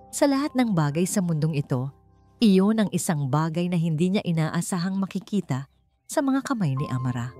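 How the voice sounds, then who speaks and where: A woman's voice: lowish voice, a little mellow. A woman narrating a scripted romance drama in a solemn, emotive storytelling manner. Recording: music under the voice.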